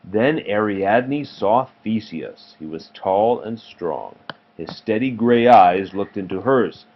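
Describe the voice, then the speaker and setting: Male storybook reader, parent reading to child, lower pitch, muffled home recording. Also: lively intonation, slow, a little hiss or hum. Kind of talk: storybook read-aloud narration.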